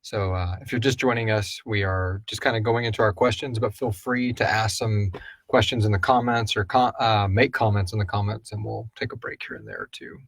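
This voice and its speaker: A man's voice: lowish voice, a little fast, gravelly, slightly rough, dry recording. A man hosting a casual live stream, chatting informally and chummily with viewers in a rambling way. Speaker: podcast host answering listener questions.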